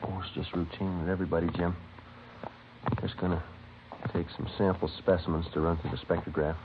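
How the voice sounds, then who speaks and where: An actor playing a terse, methodical American police sergeant, matter-of-fact and procedural, in 1950s radio drama style. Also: male voice, low voice, little pitch movement, fairly quick, dull audio. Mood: businesslike. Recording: scratchy, band-limited vintage radio recording.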